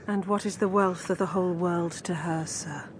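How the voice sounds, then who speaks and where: An actress playing a wary, sorrowful countrywoman in a period audio drama, grave and measured. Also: lowish voice, fairly even intonation, noisy background, lightly acted.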